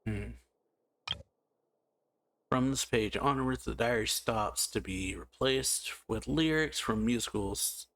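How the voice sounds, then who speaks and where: A man hosting a let's-play, casually commenting and slowly reading game text aloud, with frequent musing hums. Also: mid-range voice, gravelly, a bit bright, dry recording, poor audio. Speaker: gameplay channel host.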